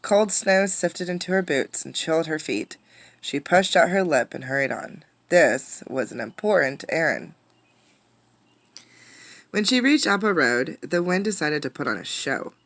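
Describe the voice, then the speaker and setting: Lower-pitched woman reading a storybook aloud. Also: no echo. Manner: expressive, pausing to ask children questions. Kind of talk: interactive storybook read-aloud.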